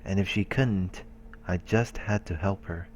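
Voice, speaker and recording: A man's voice: sits low, a little slow, a little mellow, slight background noise. A man reading a visual novel's story narration aloud as a let's play narrator. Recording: music behind the voice. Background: game music.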